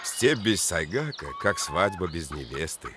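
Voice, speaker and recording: A man voicing a hospitable, dignified steppe elder in a cartoon, unhurried in manner. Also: deep voice, big pitch swings. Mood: welcoming. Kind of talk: cartoon voice acting.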